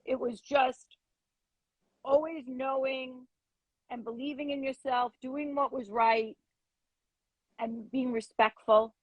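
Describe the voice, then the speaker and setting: A woman's voice: high voice, slow, long pauses, breathy edge, no room sound, lo-fi sound. A woman who is a former schoolteacher chatting on a live stream, telling stories emphatically. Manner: emphatic storyteller, lively and expressive. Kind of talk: casual chat.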